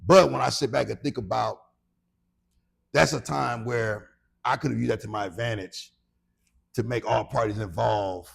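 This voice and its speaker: Late 40s american guy, really gravelly husky voice, reflective casual podcast talk. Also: medium pitch, moderate pace, pauses often. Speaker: podcast co-host.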